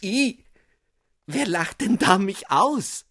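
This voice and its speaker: Mann spricht naive junge adelsfräulein, heiter, schlicht, lebhaft auf und ab, trocken aufgenommen. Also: male voice, high-pitched, expressive, slow pace, bright, forceful tone, no echo, studio quality, pitched above the performer's own voice, heavily acted. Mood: indignant. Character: naive young noblewoman, cheerful and simple.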